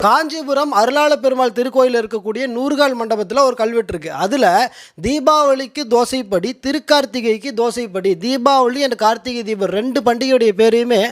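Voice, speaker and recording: A man delivers mocking rebuttal commentary in a very high voice. He is colloquial and sarcastic, taunting his opponent as he presents his case. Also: fairly quick. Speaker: political commentary video presenter.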